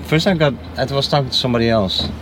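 A man chats in a friendly, curious way, asking question after question from the driver's seat. His voice is at an ordinary pitch for a man. The car's road noise and air conditioning hum steadily behind him. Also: racing, a bit bright, low-quality recording. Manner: friendly, chatty, asks lots of questions. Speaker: rideshare driver and host. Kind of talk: casual chat. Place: inside a car. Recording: car recording with road noise. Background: car and air conditioning noise.

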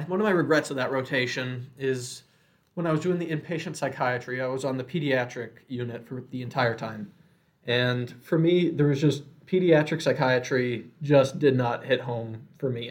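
A young man in his twenties, a medical student, talking earnestly and reflectively in a casual discussion, giving advice from his own experience. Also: mid-range voice.

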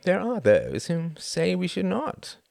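A man reading aloud, voicing a cool, wry male British diplomat who speaks in a measured, mildly condescending way. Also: sits high, huge pitch swings, creaky, a little mellow, dry recording, clean studio sound, voice pushed up, full character voice.

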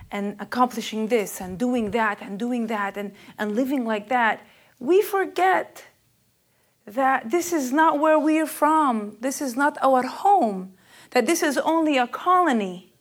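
Earnest woman preacher, rather high voice. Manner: intense and earnest, rising and louder on key questions; hesitant fillers, searching for words. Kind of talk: spoken sermon.

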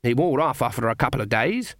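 A man's voice: mid-range voice, animated, fairly quick, dry recording, studio quality, lightly acted. A man voicing a stern, proud, easily offended old woman witch in a storybook reading, with a snappish, imperious manner. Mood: snappish, indignant.

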